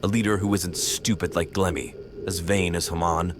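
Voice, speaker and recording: A man voicing a ruthless rebel commander who talks in a measured, commanding way, in a fan audio drama. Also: sits low, fairly even intonation, background noise, natural voice. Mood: resolute. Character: ruthless male rebel commander, cold, driven. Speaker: rebel leader.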